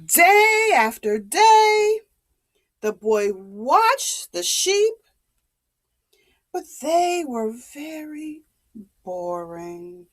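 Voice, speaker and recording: A woman telling a story to young children in a dramatic, expressive, deliberate way. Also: sits high.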